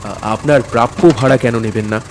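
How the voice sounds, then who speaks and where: A man's voice: mid-range voice, fairly quick, a little hiss or hum, close to an everyday voice. An actor playing a courteous, hesitant maths tutor, telling his own eerie story in an audio drama. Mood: polite, hesitant. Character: anxious, courteous male maths tutor recounting an eerie night. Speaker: narrator and lead character. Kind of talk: dramatic first-person ghost-story narration.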